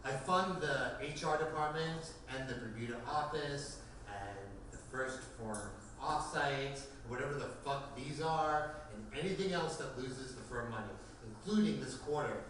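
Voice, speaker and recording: A man's voice: medium pitch, a little slow, hardly any pauses, a bit bright, natural voice. Smug, needling executive played by a man, echoey room. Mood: smug sarcasm. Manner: mocking, profane, flippant. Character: smug, needling, theatrical male finance executive. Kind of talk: table read of a script. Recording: roomy, distant mic, faint noise.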